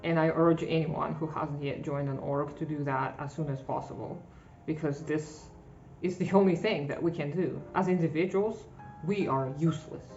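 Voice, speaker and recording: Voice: mid-range voice, steady tone, moderate pace, a little mellow. Frank vlogger over faint music. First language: Russian. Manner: frank, self-deprecating, with short laughs. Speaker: channel host. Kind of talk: personal vlog monologue. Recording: dull lo-fi audio with faint music.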